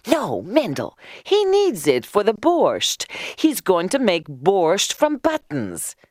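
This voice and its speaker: An excitable, skeptical caretaker man, put on by a woman blusters and insists. It is a storybook character with big swings in pitch and a dry, close sound. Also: takes it slowly, dry recording, exaggerated voice. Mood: persuading.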